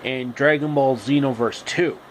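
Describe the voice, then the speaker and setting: A man telling an improvised comic retelling of a fairy tale, haltingly and repeating phrases. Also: medium pitch. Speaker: storyteller. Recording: steady background noise.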